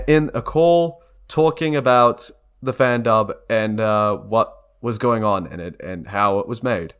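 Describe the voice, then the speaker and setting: A man's voice: mid-range voice, clean tone. A young man speaks in a casual vlog style with a mid-range voice. He is earnest and informal, rambling along as he addresses his viewers. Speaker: video creator. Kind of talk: casual vlog talk to camera or mic.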